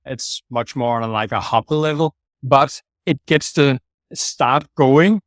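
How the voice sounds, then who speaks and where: A man's voice: medium pitch, dry recording. Man, Danish accent, interview guest, blunt, self-correcting, dry recording. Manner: direct, self-correcting, plain-spoken. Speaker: guest, sales manager. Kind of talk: casual interview talk.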